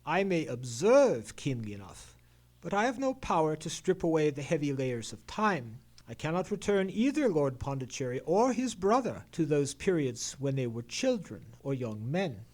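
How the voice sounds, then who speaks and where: A man playing a courteous, shrewd Victorian gentleman detective in an old-time radio play, with formal, theatrical period diction. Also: fairly high pitch, big pitch swings, moderate pace. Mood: sober, cautioning.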